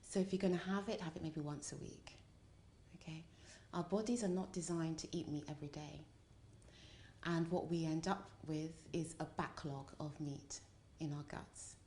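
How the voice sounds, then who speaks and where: A woman's voice: deep voice, fairly even intonation, normal speed, mellow. A woman explaining as an expert guest in an interview, emphasising points by repetition and checking in as she goes.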